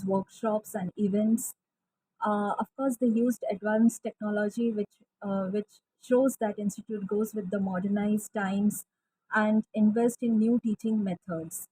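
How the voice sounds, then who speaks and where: A woman, a college French teacher, giving an earnest testimonial with frequent pauses and fillers. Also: medium pitch, dark tone, slight background noise, low-quality recording. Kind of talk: recorded testimonial.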